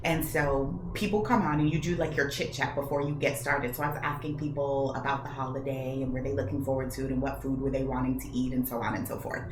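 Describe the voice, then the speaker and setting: An American woman talking conversationally as a guest and trainer, telling stories in an animated, emphatic way and acting out what people said. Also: very deep voice, fast talker, hardly any pauses. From United States. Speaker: radio show guest, cultural responsiveness trainer. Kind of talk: conversational storytelling and discussion. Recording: roomy echo, loud background noise.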